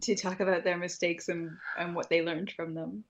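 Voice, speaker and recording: A woman's voice: on the low side, level tone, normal speed. A woman hosting a podcast interview, asking brief, polite questions.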